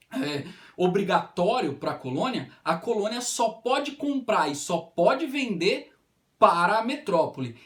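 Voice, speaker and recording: A man with a Brazilian accent giving an informal history lecture, explaining didactically and often adding short check-in tags. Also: sits high. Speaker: history teacher.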